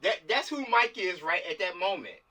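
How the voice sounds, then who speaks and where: A man's voice: high-pitched, animated, moderate pace, sharp tone. A man speaking casually and emphatically on a video call, directing a group discussion with slang and repeated words for stress. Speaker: film director leading a table read. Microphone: video call microphone. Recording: distant video-call audio.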